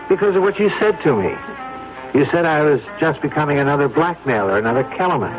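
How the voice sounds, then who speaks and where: A man's voice: on the high side, lively intonation, fast, natural voice. Actor as scheming radio-drama man, tinny sound. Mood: earnest, uneasy. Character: nervy scheming blackmailer man, grimly resigned, coolly deceitful. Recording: tinny vintage radio broadcast, music behind.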